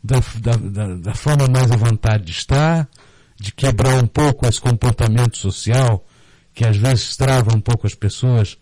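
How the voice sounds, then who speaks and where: A middle-aged man with a Brazilian Portuguese accent, explaining in a casual radio interview in a halting way, often repeating words. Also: mid-range voice, darkish tone, dry recording. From Brazil, born in Rio de Janeiro, raised in Recife. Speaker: guest, storyteller and theatre group director. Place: radio studio, dry close sound.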